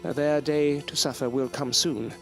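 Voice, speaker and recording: A man's voice: fairly high pitch, fairly even intonation, unhurried, soft background music. An actor playing a proud, loyal male scientist in an audio drama, speaking in a formal, articulate, theatrical way. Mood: bitter, brooding. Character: proud, defiant, loyal male scientist, courteous but bitter. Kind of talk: period audio drama acting.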